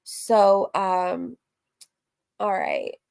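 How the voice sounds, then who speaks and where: A woman's voice: mid-range voice, wildly swooping pitch, very slow, creaky, a little mellow, clear, resonant tone. A woman streamer chatting casually through a recap, reading messages aloud, candid and rambling, breaking off into asides and dropping casual swear words. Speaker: streamer and host of a reaction livestream.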